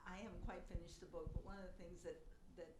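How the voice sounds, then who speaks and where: A woman's voice: on the low side, fairly even intonation, fast talker, mellow. A woman in her seventies asking a casual, personal question from the audience, searching for her words as she goes. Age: 70s. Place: live book talk audience. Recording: slight noise on event recording.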